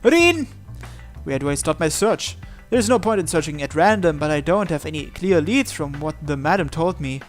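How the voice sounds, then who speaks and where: Guy narrating a visual novel out loud, kinda high voice, dramatic n suspenseful, some game music under it. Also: lively intonation, moderate pace. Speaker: visual novel let's player. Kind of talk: dramatic read-aloud narration.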